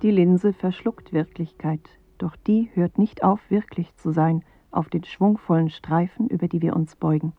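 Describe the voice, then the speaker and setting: A woman performing a pensive narrator in a poetic radio drama, speaking in measured, deliberate fragments on a muffled 1980s recording. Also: lowish voice, fairly even intonation, no echo, soft background music. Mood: pensive, grave. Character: pensive female narrator of a dreamlike inner journey.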